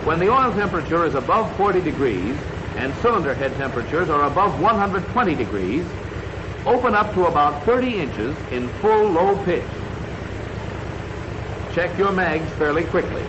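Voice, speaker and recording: Muffled vintage American male training-film narrator. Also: a little high. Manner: instructional, measured, clearly enunciated. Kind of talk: scripted 1940s instructional film narration. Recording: muffled, noisy 1940s film soundtrack.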